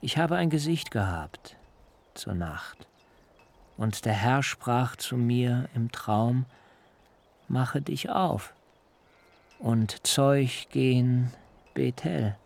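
An actor playing a wrathful, grieving old patriarch who curses with anguished outcries in an audiobook drama. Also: male voice, mid-range voice, animated, extremely slow, vocal fry, pauses often, exaggerated voice. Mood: grim, commanding. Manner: furious cursing, rising to anguished outcries.

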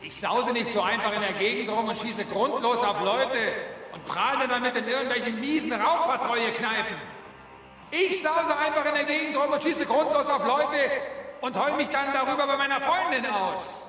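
Shouty high-voiced space cop in cavernous echo. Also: male voice, normal speed. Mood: smug threatening. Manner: shouty, self-righteous, speechifying. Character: cocky trigger-happy male space cop posing as sensitive liberal. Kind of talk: comic science-fiction radio drama acting. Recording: echoing hall reverb, music behind.

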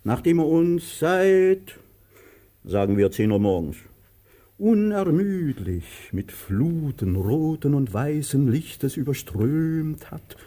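An actor playing a cruel, pompous master of about sixty in a radio drama, declaiming theatrically and swinging between grandeur and self-pitying whining. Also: male voice, on the high side, sing-song, takes it slowly, mellow, full character voice. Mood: grandiloquent. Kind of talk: radio drama stage acting.

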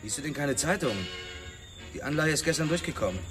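A man's voice: a little high, expressive, a little fast, natural voice. Man playing a blunt cop, slightly off-mic. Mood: blunt, pushy. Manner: blunt, tough, streetwise. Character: blunt, cocky ex-boxer cop, the hero's partner. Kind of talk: radio drama dialogue acting. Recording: slightly distant, music behind. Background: music.

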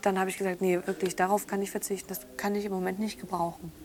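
An actress playing a hurt, candid thirty-year-old daughter in natural, improvised-sounding radio drama dialogue. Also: female voice, medium pitch, little pitch movement, quick pace, close to an everyday voice. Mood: hurt, frustrated. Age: 30s.

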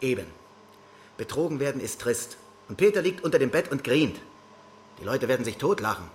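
A man as a grumbling, animated film actor. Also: medium pitch, fairly quick, slight background noise, close to an everyday voice. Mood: sulky, defensive. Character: stubborn, literal-minded, proud male film actor. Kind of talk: radio drama dialogue.

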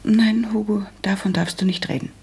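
An actress playing a prim, practical and resolute young woman in a German radio drama, speaking firmly with a husky, creaky voice. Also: mid-range voice, fairly even intonation, normal speed, a little mellow. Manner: firm, matter-of-fact.

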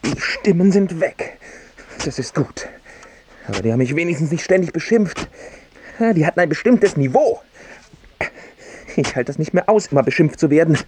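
An actor playing an anxious, self-doubting failed singer in a radio drama, brooding and needy in manner. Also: male voice, on the high side, lively intonation, normal speed, long pauses, darkish tone, slight background noise, close to an everyday voice. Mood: agitated. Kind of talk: stage-like radio drama acting.

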